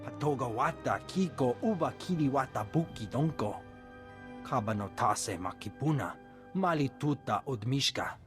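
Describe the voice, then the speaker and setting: A man playing an alien officer in a video game, speaking an invented language in a steady, formal way. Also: mid-range voice, normal speed, soft background music, natural voice. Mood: serious.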